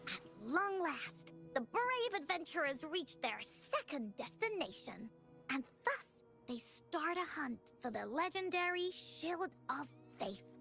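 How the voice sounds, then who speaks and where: A woman voicing a bubbly, excitable little girl adventurer in an anime game, childlike and eager. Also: sits high, a little slow, creaky, full character voice. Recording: music under the voice.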